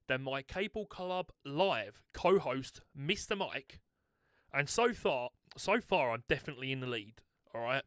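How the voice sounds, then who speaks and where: A young British man chatting his way through a live game stream, animated and full of restarts and filler words. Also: on the high side, no room sound, clean studio sound. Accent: British English. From United Kingdom. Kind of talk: live gameplay commentary.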